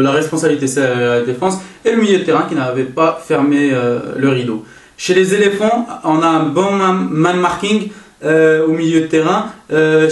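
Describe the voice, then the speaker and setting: Echoey, higher-pitched man analysing football. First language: French-speaking, not an Arabic speaker. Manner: hesitant, halting, self-correcting delivery. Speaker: football analyst presenting his own video. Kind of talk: informal match analysis monologue. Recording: roomy echo, distant microphone.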